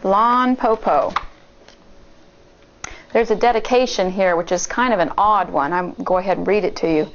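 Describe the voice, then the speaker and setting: A woman teacher reading a picture book aloud to children in a deliberate, explanatory way. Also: mid-range voice, muffled recording, a little hiss or hum. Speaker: elementary school teacher, story reader. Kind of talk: storybook read-aloud with asides to children.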